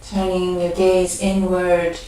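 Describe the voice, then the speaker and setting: A woman leading a guided meditation, speaking gently and unhurriedly in short phrases with pauses between them. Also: fairly low pitch, completely flat delivery. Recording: very echoey room, distant mic.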